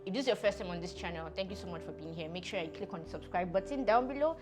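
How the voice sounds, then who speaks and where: A woman's voice: on the low side, rapid-fire, over music. A woman hosting her own interview channel, bubbly and enthusiastic. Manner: bubbly, enthusiastic, laughs often. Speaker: YouTube interviewer, channel host.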